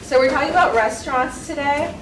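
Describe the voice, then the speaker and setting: A woman's voice: sits high, brisk. A woman teacher politely playing a restaurant customer for a lesson. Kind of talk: role-play as customer. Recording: echoey room, distant mic, noise.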